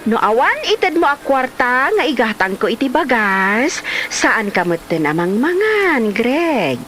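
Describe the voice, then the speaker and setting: A woman's voice: on the high side, very animated, slow pace, music in the background. A woman playing an aggrieved young mother and wife in a comedy drama, with a Filipino accent and a dramatic, exclamatory delivery. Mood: scolding, indignant.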